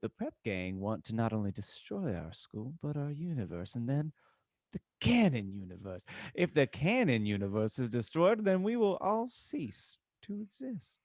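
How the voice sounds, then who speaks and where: A man's voice: medium pitch, sing-song, moderate pace, a little mellow, no echo, clean studio sound. A man voicing a patient, preachy adult explaining a prophecy in a comic dramatic reading of fan fiction. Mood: earnest, explanatory.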